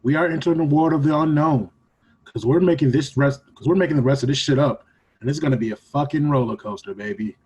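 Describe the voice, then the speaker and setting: Man as a husky, ominous radio announcer. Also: on the high side, fast talker, darkish tone. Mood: mock ominous. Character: ominous old-time radio mystery announcer.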